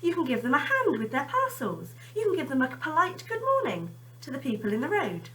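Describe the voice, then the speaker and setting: Bright, encouraging storybook mother, acted by a woman. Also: high-pitched, wildly swooping pitch, a little fast, recorded from a distance, pitched above the performer's own voice, full character voice, rough recording. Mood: gently encouraging. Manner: reassuring, encouraging. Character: kind, reassuring mother of a poor boy, in a storybook.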